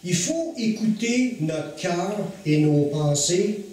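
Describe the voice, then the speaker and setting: A man with a Québécois accent preaching a sermon to a congregation, earnest, slowing to stress key phrases. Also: high voice, expressive, darkish tone, heavy reverb, recorded from a distance, a little hiss or hum, rough recording. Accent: Québécois French. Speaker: pastor. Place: church hall with congregation.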